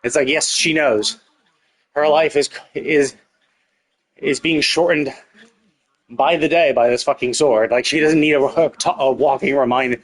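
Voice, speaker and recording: A man's voice: mid-range voice, fairly even intonation, a little slow, long pauses. A man streaming a story game, thinking aloud in a casual, rambling, sarcastic way and swearing freely. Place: indoors, livestream setup. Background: music.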